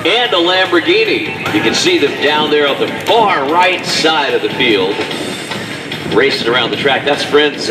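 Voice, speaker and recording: A man commentating live at an outdoor air show, calling the maneuvers with enthusiastic, exclamation-filled play-by-play from the announcer stand. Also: medium pitch. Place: outdoor airfield, voice over a public address system. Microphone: announcer mic over loudspeakers, recorded from far off by a spectator camera. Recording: far-off echoey PA sound with loud music.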